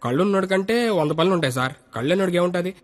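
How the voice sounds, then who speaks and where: A man's voice: high-pitched, rapid-fire, natural voice. A man playing a wheedling, excuse-making blind old king in a comic stage play on film. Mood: sheepish. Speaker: actor in a film comedy scene. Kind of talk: Telugu film comedy acting. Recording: film audio with music behind.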